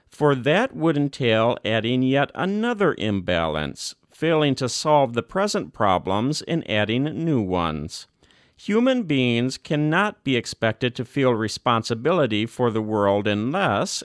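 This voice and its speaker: A man reading a formal religious text as scripted narration, with measured, deliberate phrasing and emphatic pauses. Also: medium pitch, dry recording, clean studio sound. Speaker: volunteer audiobook narrator.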